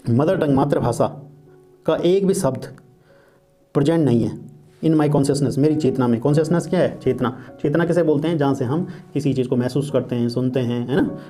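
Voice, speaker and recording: A man's voice: on the high side, warm tone. Man explaining a text in Hindi. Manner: asks and answers his own questions, repeats each point in Hindi; reads English quotes slowly and deliberately. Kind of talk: lecture reading English passages and explaining them in Hindi.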